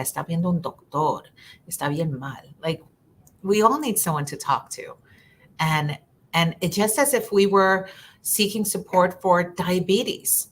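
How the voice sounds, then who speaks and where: Earnest woman, lowish voice, faint rumble. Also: fairly bright tone. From Ecuador. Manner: earnest, repeats words while thinking, slips into Spanish for quotes. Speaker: guest social worker. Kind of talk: live online conversation.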